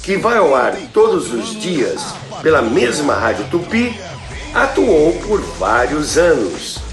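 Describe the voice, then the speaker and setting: A man with a Brazilian Portuguese accent narrating scripted stories in a theatrical, deliberate way as a show host. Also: a little high, very animated, over music. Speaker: host and storyteller of a radio history show.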